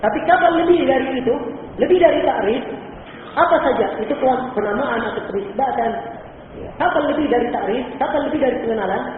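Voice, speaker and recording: A man's voice: very high voice, animated, quick pace. A male Islamic teacher from Indonesia giving a religious lecture, explaining patiently, repeating key points and asking rhetorical questions. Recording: old echoey hall recording from far off, phone-like and faintly noisy.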